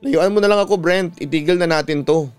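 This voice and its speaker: A man's voice: a little high, fairly quick, smooth, faint music behind, voice pushed up, lightly acted. A Filipino man voicing an anguished, self-doubting woman who pleads emotionally, as in a radio drama. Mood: anguished pleading.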